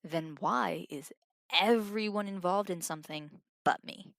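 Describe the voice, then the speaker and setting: A female guard who wants to be trusted, in an audio drama. Her voice sits slightly low and is recorded dry, with a teasing, probing edge. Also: measured pace, vocal fry, a bit bright, no echo. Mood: hurt, challenging. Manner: probing, pointed.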